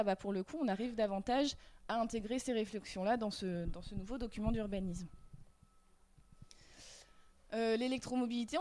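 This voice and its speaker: A woman's voice: on the high side, a little mellow. A woman giving a workshop presentation on slides, hesitant with many fillers and restarts.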